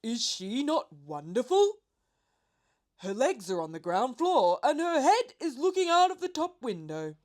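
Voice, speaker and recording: A woman voicing a hungry, exuberant male pelican in a children's storybook, with loud, drawn-out theatrical delivery. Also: high-pitched, sing-song, voice pushed up. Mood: proud.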